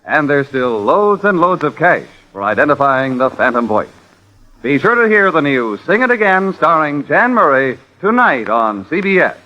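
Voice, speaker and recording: A man's voice: on the high side, sing-song, moderate pace, pushed and bright. An American man announcing on 1950s network radio with polished, punchy salesmanship. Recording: dull old radio recording.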